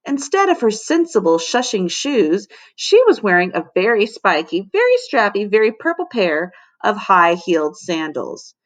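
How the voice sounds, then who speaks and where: A woman teacher narrating a children's storybook aloud in an expressive, dramatic way. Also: mid-range voice, moderate pace, few pauses. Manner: animated, dramatic.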